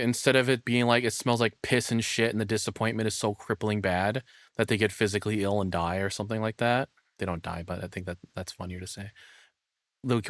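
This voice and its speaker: A man's voice: lowish voice, a bit bright, no echo. A man giving casual reaction commentary as a streamer, rambling and thinking aloud with frequent swearing, close to the microphone.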